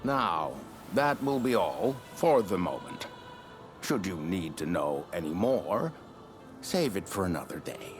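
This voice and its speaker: An authoritative dignitary in a game drama. The voice is deep with wide swings, formal and dismissive, over clear music. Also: male voice, big pitch swings, measured pace. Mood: curt, formal. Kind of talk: video game cutscene acting.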